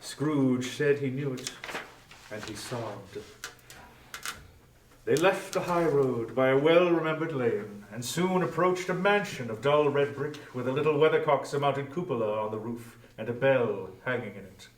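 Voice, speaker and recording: A man narrating a classic story as a dramatic, expressive scripted reading. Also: mid-range voice, measured pace. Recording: echoey room, distant from microphone.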